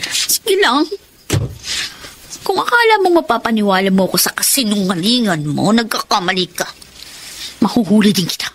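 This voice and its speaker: An actress playing a jealous, suspicious and threatening wife in a Filipino romantic radio drama. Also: female voice, medium pitch, big pitch swings, normal speed, pauses often, a little hiss or hum, natural voice. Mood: bitter, vengeful.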